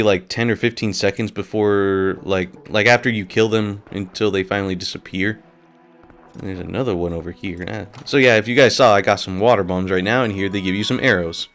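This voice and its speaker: Male let's play host, slightly sick and nasal, rambling casual commentary, lowish voice, faint music under it. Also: a bit bright. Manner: casual, rambling, laughs often. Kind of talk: gameplay commentary.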